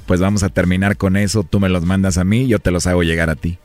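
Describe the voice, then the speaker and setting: A man with a Mexican accent, smooth-talking and flirtatious, making a prank call for a radio show. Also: low-pitched, fairly even intonation, quick pace, a little mellow, soft background music. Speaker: radio prank caller posing as a suitor. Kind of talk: flirtatious prank phone chat.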